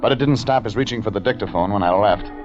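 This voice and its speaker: A man playing a laconic, wry private detective in a 1940s radio drama, terse and deadpan. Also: on the low side, quick pace, close to an everyday voice. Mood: deadpan narrating. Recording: muffled vintage radio recording, music behind.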